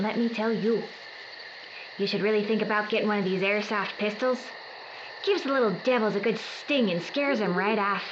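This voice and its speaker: A woman's voice: medium pitch, close to an everyday voice. A woman playing a breezy, motherly, folksy forager in an audio drama. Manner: folksy, breezy, matter-of-fact. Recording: muffled, noisy night-time outdoor scene.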